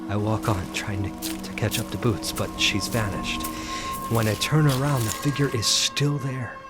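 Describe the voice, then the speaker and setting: An actor playing a haunted, curious solitary man in an audio drama, halting and gravelly, as if thinking aloud. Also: lowish voice, steady tone, over loud music, close to an everyday voice. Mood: uneasy, dread. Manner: hesitant, halting, murmuring to himself. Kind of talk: first-person dramatic narration with in-scene dialogue.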